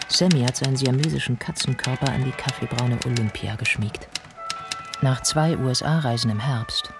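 An actor playing an observant, detached literary narrator in a radio play, measured, over music. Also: male voice, medium pitch, lightly acted.

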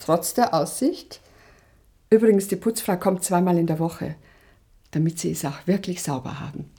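An actress playing a polite, businesslike and slightly tart small-town secretary in a radio drama. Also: female voice, lowish voice, moderate pace, dark tone, echoey room, close to an everyday voice. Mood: brisk, tart.

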